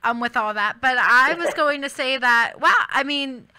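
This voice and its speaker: A woman's voice: high-pitched, moderate pace, sharp tone, clean tone, no room sound. A woman in her twenties hosting a radio interview in a studio, enthusiastic and giggly with many fillers. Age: 20s. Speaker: radio host. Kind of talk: casual interview chat.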